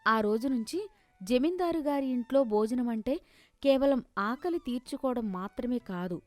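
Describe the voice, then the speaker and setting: A woman's voice: on the high side, animated, moderate pace, no echo. A woman narrating a scripted moral story as a storyteller.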